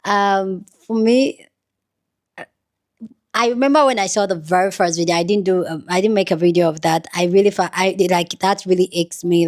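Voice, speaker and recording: A woman's voice: medium pitch, a little mellow. A woman from Nigeria giving rambling, earnest commentary on her own channel, with many restarts. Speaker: YouTube commentator. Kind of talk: casual commentary to camera.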